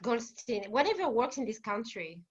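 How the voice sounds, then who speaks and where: A woman's voice: on the high side, big pitch swings, moderate pace, slightly rough, dull audio. A woman in her forties from France with a French accent, a guest therapist answering interview questions with many fillers and restarts over a video call.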